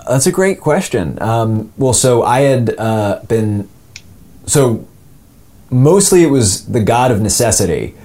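A man's voice: mid-range voice, very animated, slow, mellow. A young man, an audio drama actor and co-producer, answering interview questions in a casual, rambling way with many fillers and restarts.

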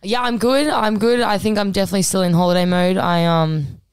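A woman, co-hosting a podcast in a relaxed, rambling way with lots of ums. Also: mid-range voice, brisk, dry recording. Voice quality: husky. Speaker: podcast co-host. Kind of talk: casual podcast chat.

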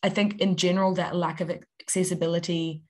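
Young New woman, low and even delivery, articulate panel guest. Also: brisk. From New Zealand. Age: young adult. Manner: articulate, steady, with fillers. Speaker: youth climate activist. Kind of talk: interview answers.

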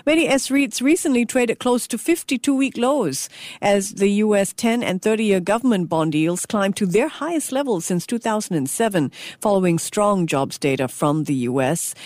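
A woman's voice: medium pitch, darkish tone, no echo. A woman radio host speaks in a mid-range voice, recorded dry and close in a studio. She delivers finance news and interview questions in a presenter's style. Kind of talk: scripted intro and live financial interview.

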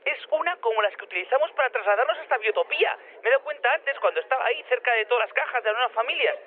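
Scatterbrained inventor, audio drama: eager, rapid, swooping delivery, stammering and repetitive, thin phone sound. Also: male voice, extremely high pitch, expressive. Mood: giddy, amazed. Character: excitable, scatterbrained male inventor, eager and rambling. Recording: narrow phone-like radio link.

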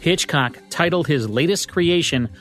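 A man's voice: a little high, lively intonation, unhurried, a bit bright, soft background music. A man co-hosting a podcast, reading a scripted narration on radio history. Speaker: podcast co-host.

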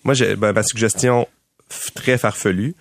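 A man, a sports journalist who lays out hockey trade ideas in a measured way, thinking aloud with fillers. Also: fairly low pitch, steady tone, creaky, no echo. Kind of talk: casual hockey analysis.

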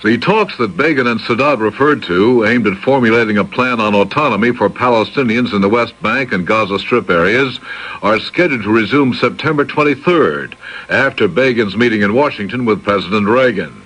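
Male radio anchor, American, lowish husky voice, scripted news delivery. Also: fairly quick, a bit bright, low-quality recording. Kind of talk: scripted newscast reading.

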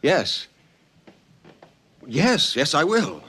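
A man's voice: a little high, sing-song, very slow, noisy background, heavily acted. An actor playing a grave, earnest professor in a vintage radio drama, urgent and sometimes hesitant in his delivery. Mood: earnest, resolute. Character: earnest, grave male professor standing against an invader. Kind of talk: 1950s radio drama acting.

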